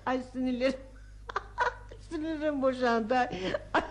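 High-voiced, nagging elderly housewife. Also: female voice, unhurried. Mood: mocking amusement. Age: older. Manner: scolding, teasing, exclaiming often. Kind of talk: radio theatre acting.

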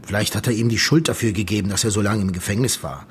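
An actor playing the grave, loyal friend of a murdered man in a radio drama, speaking in an earnest, measured way. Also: on the low side, slight background noise, natural voice. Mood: earnest, firm. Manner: measured, earnest, telling things step by step. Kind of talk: German radio drama dialogue.

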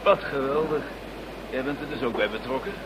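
An actor playing an unruffled, matter-of-fact British chief police inspector in a radio drama. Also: male voice, mid-range voice, animated, fairly quick, lightly acted. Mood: easygoing. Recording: faint background noise, old radio play.

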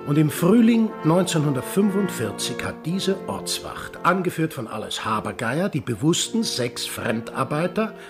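An actor playing a blunt, combative, sardonic sailor in a radio drama. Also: male voice, fairly high pitch, big pitch swings, moderate pace, hardly any pauses, over music. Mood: sardonic, accusing. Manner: sardonic, gruff, confrontational. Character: blunt, combative sailor accusing villagers of wartime murder.

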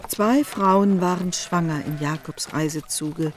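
A woman reading scripted literary narration in a measured, formal manner. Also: lowish voice, slight background noise.

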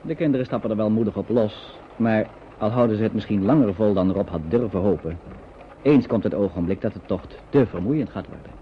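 An actor playing the sober narrator of a grim wartime radio play, reading scripted narration. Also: male voice, lowish voice, brisk, lo-fi sound, natural voice. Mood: grave. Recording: thin, noisy old radio recording.

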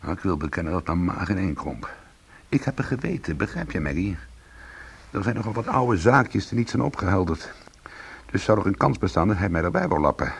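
Gravelly, low-voiced, bitter ex-convict. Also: male voice, big pitch swings, a little fast, full character voice. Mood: tense, confiding. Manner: sardonic, defiant. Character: bitter, defiant male ex-convict trying to go straight. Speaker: actor in a radio play. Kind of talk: Dutch radio drama dialogue and narration.

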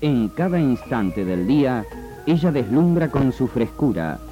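Slow, showy male announcer. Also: mid-range voice, sing-song, muffled recording. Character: smooth, grandiose male advertising and show announcer. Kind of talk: 1960s broadcast announcer. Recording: 1960s radio archive, music behind.

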